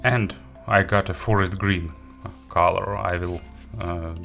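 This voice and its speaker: A man giving a casual gadget review and unboxing, halting and hesitant as he thinks aloud. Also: low voice, fairly even intonation, vocal fry, fairly bright tone, faint music behind. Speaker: audio gear reviewer.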